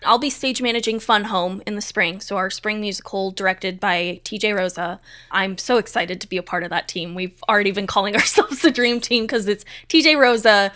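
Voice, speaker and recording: A woman with a bright, lively-edged voice at a middle pitch talks as an interview guest. She is effusive and emphatic, leaning on her words in casual conversation. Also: a little fast. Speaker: theatre stage manager, interview guest. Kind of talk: casual chat.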